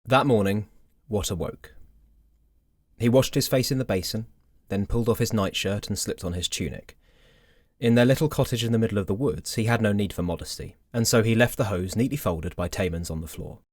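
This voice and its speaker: Low-pitched male storybook narrator, dry studio sound. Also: brisk, a little mellow, dry recording. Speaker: fairy tale narrator.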